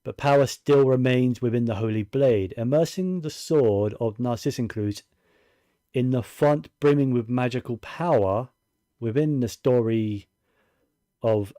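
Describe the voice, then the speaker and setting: A man's voice: medium pitch, mellow, no echo, studio quality. A man giving casual video game commentary, musing and thinking aloud to himself as he plays.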